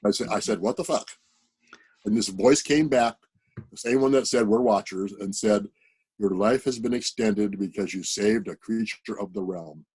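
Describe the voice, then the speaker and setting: A man's voice: lowish voice, moderate pace, darkish tone, breathy edge, rough recording. A man on a video call earnestly telling a personal spiritual story in a plain, direct way. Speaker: participant sharing a story.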